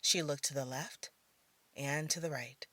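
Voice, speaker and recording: A woman storyteller reading scripted fiction narration in a measured, theatrical manner. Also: deep voice, sharp tone, no room sound.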